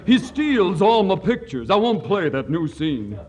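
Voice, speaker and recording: An actor playing a vain, dim cowboy movie star with folksy, ungrammatical talk in a 1940s radio comedy. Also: male voice, sits high, big pitch swings, unhurried, darkish tone, a little hiss or hum. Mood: aggrieved.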